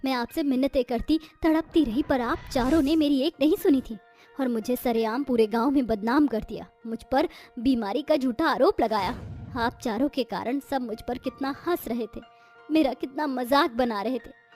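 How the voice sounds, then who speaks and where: Actress as cartoon household woman, high voice, theatrical, exaggerated dramatic delivery, faint music underneath. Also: moderate pace, a little mellow, close to an everyday voice. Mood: aggrieved. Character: women of a cartoon family: brides and haughty in-laws. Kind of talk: dramatic Hindi moral-story cartoon dubbing.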